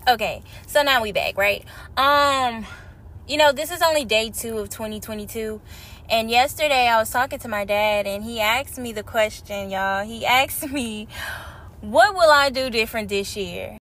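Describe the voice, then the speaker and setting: Hoarse chatty woman podcaster. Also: on the high side, normal speed. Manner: animated, slangy and chatty. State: hoarse from a cold. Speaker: podcast host. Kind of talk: casual spiritual podcast talk.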